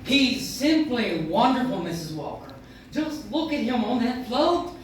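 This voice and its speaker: A woman's voice: a little high, very animated, a little slow. A woman playing a gushing, theatrical publicity woman in a 1940s-style radio play, recorded at a distance in an echoey room. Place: coffee house stage, recorded from audience. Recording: echoey room from afar, clear noise.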